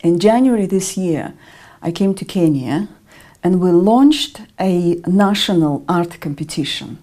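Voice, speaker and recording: A middle-aged woman from Russia with a Russian accent, answering interview questions in a measured way with frequent ums. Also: lowish voice, animated, mellow. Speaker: interview guest, artist and educator.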